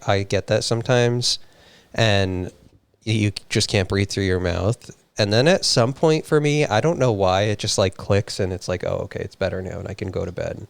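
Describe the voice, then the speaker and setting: A man's voice: fairly low pitch, creaky, clean tone, no room sound. A man co-hosting a live stream, rambling casually with playful teasing. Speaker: streamer co-host.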